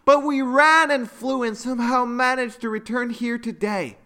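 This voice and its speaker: A man voicing a kindly unicorn father in a children's story, speaking in a calm, explanatory way. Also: very high-pitched, moderate pace, pitched above the performer's own voice. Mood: reassuring.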